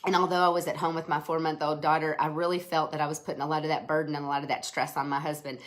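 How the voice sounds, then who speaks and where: A woman in her thirties with a slight head cold, giving an earnest, chatty business pitch on a live stream, with many fillers and restarts. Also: level tone, very fast. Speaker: network marketing presenter.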